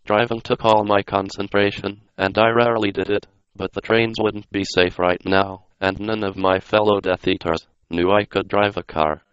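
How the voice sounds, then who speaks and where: Casting: computerized man narrator, flat delivery, clean resonant tone, slightly dull lo-fi audio. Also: lowish voice, moderate pace, few pauses, clear, resonant tone, no echo. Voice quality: synthetic text-to-speech. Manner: flat, non-dramatic delivery. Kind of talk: computer-read scripted narration.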